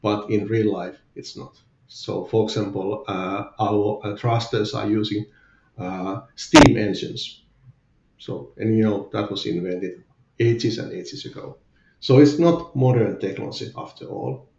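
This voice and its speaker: A man's voice: fairly low pitch, a little slow, gravelly, a little mellow, echoey room, dull audio. A man with a Finnish accent, a guest in a candid podcast interview, speaking hesitantly with many fillers. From Finland. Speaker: guest, company CFO.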